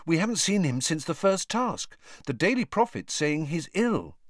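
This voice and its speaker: Reader voicing a teen schoolboy, a bit higher than the narration, storybook. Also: big pitch swings, moderate pace, no echo, clean studio sound. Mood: coaxing. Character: curious teenage schoolboy.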